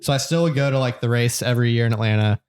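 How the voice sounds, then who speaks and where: A man's voice: mid-range voice, rapid-fire, darkish tone. A man in his late twenties from the American South, co-hosting a casual podcast and telling a long story with many fillers and restarts. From Georgia, USA. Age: 20s. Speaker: podcast co-host.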